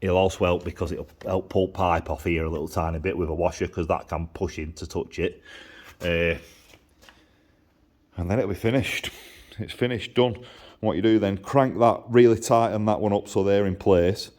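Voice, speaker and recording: A man's voice: sits low, steady tone, normal speed, darkish tone. A man giving a casual, hands-on workshop demonstration, explaining informally step by step and repeating himself. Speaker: motorcycle exhaust maker and mechanic. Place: motorcycle workshop. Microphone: handheld camera held by the speaker.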